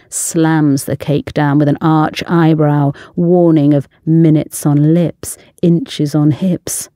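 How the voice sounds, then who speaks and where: Woman reading a short story, really slow n mellow, lingers on phrases, dry close sound. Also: low-pitched, no room sound. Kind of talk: scripted literary narration.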